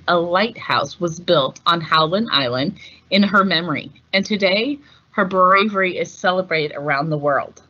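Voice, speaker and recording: A woman reading a picture book aloud as host, in a measured, deliberate storytelling delivery. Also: medium pitch, sharp tone, muffled recording. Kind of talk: scripted picture-book narration.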